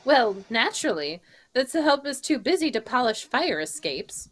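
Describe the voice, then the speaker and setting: A woman's voice: on the high side, very animated, moderate pace, fairly bright tone. A woman playing a flustered, defensive female murder suspect in an old-time radio mystery, with an indignant, exaggerated period delivery. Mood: pert, curious.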